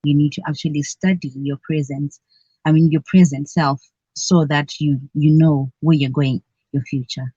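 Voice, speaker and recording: A woman's voice: low-pitched, steady tone, moderate pace, warm tone, husky, dry recording, muffled recording. A woman from Zimbabwe, a guest on a radio interview, chatting and laughing often between phrases. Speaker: guest, cultural advocate.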